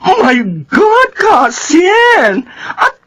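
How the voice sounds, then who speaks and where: A woman's voice: extremely high pitch, very animated, drawn-out words, fairly bright tone, exaggerated voice. A woman with a Filipino accent playing an indignant, concerned cousin in a radio drama, emphatic and scolding.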